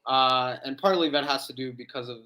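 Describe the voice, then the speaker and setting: A man in Slavic studies, a guest on an interview, explaining at length in a measured way with many "um"s. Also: medium pitch, sharp tone. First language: English. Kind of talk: casual interview chat.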